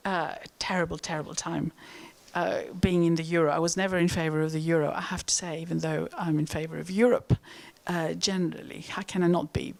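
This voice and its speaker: A woman's voice: lowish voice, fairly even intonation, fairly quick, vocal fry. A middle-aged woman with a Greek accent, speaking as an economist on a conference panel, earnest and outspoken with many fillers. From Greece.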